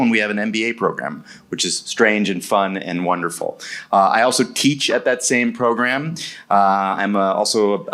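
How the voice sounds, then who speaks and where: Low-voiced man, relaxed panel guest. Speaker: guest, professor. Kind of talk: panel self-introduction. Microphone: handheld mic.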